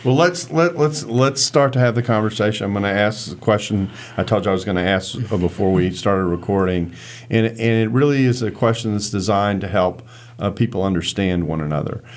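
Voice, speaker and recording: An American man moderates a panel discussion in a very deep voice. He keeps things conversational, affirms the guests often, and asks them open questions. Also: brisk. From United States. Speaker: host, moderator.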